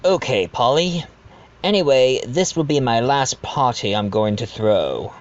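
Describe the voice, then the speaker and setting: Man as genial widowed doctor, audio drama host, unhurried, animated intonation, chatty stumbles, slightly muffled home recording. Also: medium pitch, close to an everyday voice. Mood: genial, flustered. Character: genial widowed doctor hosting a murder-mystery dinner party. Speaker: narrator and host of the dinner party. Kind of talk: fan audio drama acting with narration asides.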